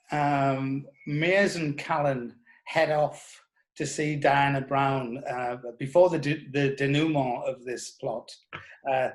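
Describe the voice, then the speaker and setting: A middle-aged man giving a reflective interview, telling anecdotes in a hesitant, measured way. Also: on the high side. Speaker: writer interviewed about audio drama scripts.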